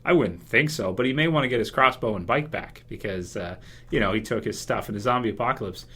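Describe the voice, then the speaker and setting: A man's voice: medium pitch, quick pace, bright, forceful tone, slightly rough. A man hosting a casual fan commentary video, speculating in a hesitant, think-aloud way. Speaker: YouTube video host.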